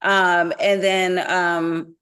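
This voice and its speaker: A woman's voice: fairly low pitch, level tone, very slow, a bit bright, smooth. A woman founder on a webinar panel, giving practical advice and listing concrete examples over a video call. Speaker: climate tech founder on a panel.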